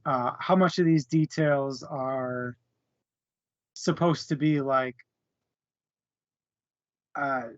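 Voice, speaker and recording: A man giving his opinions on a book in a casual discussion, measured and reflective. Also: fairly high pitch, no echo, dull audio.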